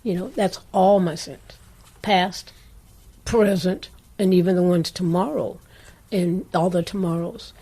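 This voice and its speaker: A woman with a low voice, co-hosting a casual chat and often affirming with mm-hmms. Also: fairly even intonation, a little slow, breathy edge, low-quality recording. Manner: warm affirming listener, frequent mm-hmms. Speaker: co-host.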